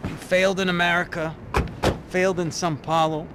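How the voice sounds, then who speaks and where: An actor playing a cynical police detective in a game, speaking in a sardonic, knowing way. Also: male voice, sits high, level tone, fairly quick, natural voice. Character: sly, cynical Brazilian police detective, man. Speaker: police officer. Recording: game scene with loud street traffic.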